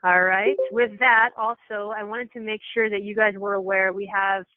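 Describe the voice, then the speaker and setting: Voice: mid-range voice, little pitch movement, normal speed. A program coordinator giving an informal, matter-of-fact, explanatory briefing to coaches over a telephone conference call. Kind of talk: informal meeting presentation. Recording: tinny, echoey telephone conference line.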